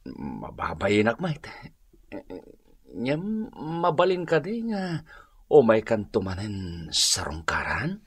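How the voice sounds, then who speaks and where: A man's voice: on the low side, huge pitch swings, slow, long pauses, slightly rough, no room sound, full character voice. A male actor playing a sheepish, eager-to-please suitor in a radio comedy drama, stammering and effusive, with an Ilocano accent.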